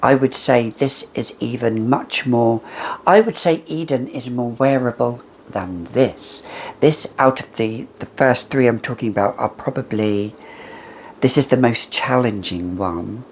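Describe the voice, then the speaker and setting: Slow-paced perfume vlogger over background noise. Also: medium pitch, a little mellow. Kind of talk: casual product review to camera.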